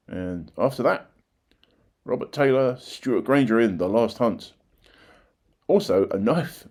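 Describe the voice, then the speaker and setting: A man's voice: medium pitch, darkish tone. An older man, presenting local history in informal narration with plain-spoken conversational asides. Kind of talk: informal narration of old newspaper clippings.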